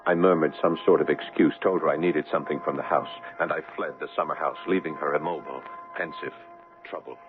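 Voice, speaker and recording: A man's voice: a bass voice, steady tone, fairly quick, over music. A man playing an earnest, anxious young bridegroom, telling his story in formal, ornate phrases in a 1970s radio drama. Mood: shaken. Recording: muffled vintage radio broadcast.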